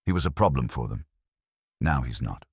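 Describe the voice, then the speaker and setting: A man playing a calculating, deep-voiced ambitious heir. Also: expressive, normal speed, slightly rough, dry recording, clean studio sound, full character voice. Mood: guarded. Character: ambitious, evasive grown son of a bakery family.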